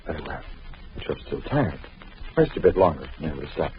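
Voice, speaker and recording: An actor playing a brooding former lover, intense and theatrical, in 1940s radio acting. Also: male voice, a bass voice, sing-song, a little fast. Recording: dull old radio recording with faint hiss.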